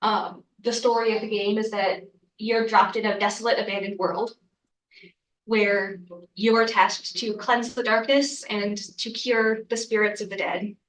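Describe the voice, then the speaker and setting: A woman's voice: mid-range voice, level tone, measured pace, a bit bright, reverberant, recorded from a distance, rough recording. A young adult woman, congested from a cold, giving an earnest student research presentation with frequent ums and uhs. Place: lecture room.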